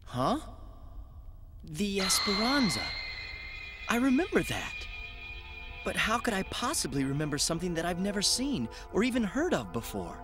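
A man voicing an earnest, puzzled and sensitive young cadet in an animated sci-fi dub. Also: sits high, moderate pace, pauses often, music in the background. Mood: troubled. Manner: earnest, wondering.

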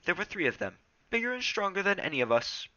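Guy doing a young tomcat in a storybook, earnest n determined, higher pitched, bright, kinda roomy. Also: big pitch swings, fast talker, lo-fi sound, exaggerated voice. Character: Rusty, restless, determined young male pet cat.

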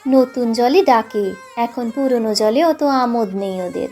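A woman's voice: fairly high pitch, a little slow, mellow. A woman voicing a weary, brusque, poor village mother in an audiobook story, with music underneath. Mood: weary, dismissive. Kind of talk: audiobook character voice.

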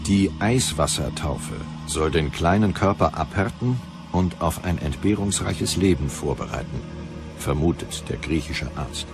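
Very deep-voiced male documentary narrator. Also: animated. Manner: measured, deliberate. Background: music.

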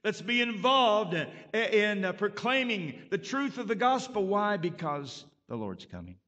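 A man's voice: high-pitched, big pitch swings, a little slow, a bit bright. A man preaching a sermon as pastor, emphatic and punctuated with frequent amens. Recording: long reverb of a church hall.

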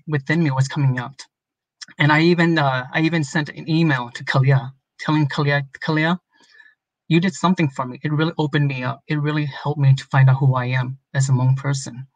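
A man's voice: fairly high pitch, no room sound, poor audio. A man in his thirties from Minnesota, an illustrator, speaking earnestly and openly on a video call. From Minnesota, USA. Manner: earnest, open, personal. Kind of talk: conversational guest talk. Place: home room.

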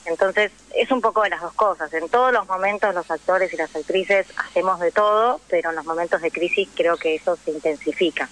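A woman's voice: lowish voice, a little fast, hardly any pauses, fairly bright tone, rough recording. A woman with a Rioplatense Argentine accent, speaking conversationally and explaining at length as a guest in a radio interview. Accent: Rioplatense Argentine Spanish. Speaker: guest, actress and radio drama teacher.

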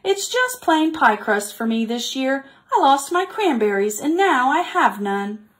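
A woman's voice: high voice, big pitch swings, measured pace, voice pushed up. A woman voicing a kind, friendly female porcupine in a storybook read-aloud. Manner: cheerful and generous.

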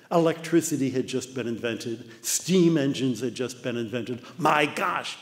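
Older American man lecturing in a reverberant hall. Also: mid-range voice. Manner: animated storytelling with mock voices. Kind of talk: illustrated talk. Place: dark lecture hall with projector screen.

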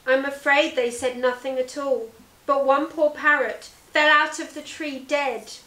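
Storybook lady merchant, read by a woman: tender-hearted, emphatic, high-pitched, emotional, roomy echo. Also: steady tone, unhurried, sharp tone. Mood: regretful.

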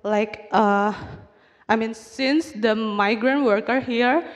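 A woman's voice: on the high side, slow. Woman, audience questioner, filler-heavy English, echoing hall. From Indonesia. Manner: searching, filler-heavy phrasing. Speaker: audience member asking a question. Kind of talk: question at a forum. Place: large conference hall. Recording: long hall reverb.

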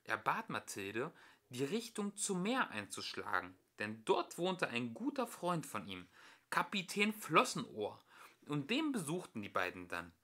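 A young man reading a children's storybook aloud as an amateur narrator, with expressive storytelling. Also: mid-range voice, measured pace.

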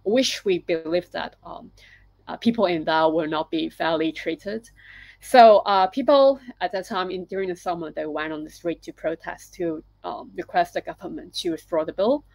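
A middle-aged woman from Hong Kong with a Hong Kong accent, presenting her photo project on a video call from her living room, pausing often with fillers and restarts. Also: lowish voice, vocal fry, a little mellow, no room sound, low-quality recording. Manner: halting, many fillers and restarts. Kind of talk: webinar presentation. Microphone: laptop video call.

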